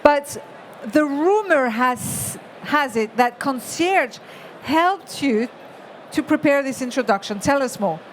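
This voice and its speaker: A woman in her forties hosts from a stage in a big expo hall, her voice high and bright in pitch. She rouses the audience with lively energy. Faint crowd noise hums behind her. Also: slow. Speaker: stage host. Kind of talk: event hosting.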